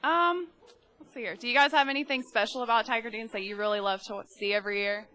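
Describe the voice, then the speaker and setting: A woman interviewing performers at a campus show, upbeat and quick with short affirmations. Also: fairly high pitch, fairly even intonation, sharp tone. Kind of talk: casual event interview.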